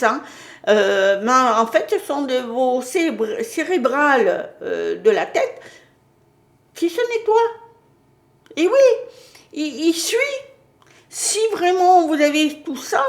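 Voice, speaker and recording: Woman chatting about spiritual stuff, lots of euhs and restarts, roomy echo. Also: sits high. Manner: hesitant, many fillers and restarts. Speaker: spiritual channel host. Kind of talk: casual spiritual talk to viewers.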